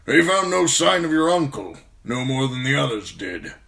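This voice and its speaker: A man voicing a gruff, irritable old lord commander in a fantasy audiobook, grumbling bluntly with grim, weary disgust. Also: medium pitch, big pitch swings, moderate pace, fairly bright tone, voice pushed up. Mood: grave.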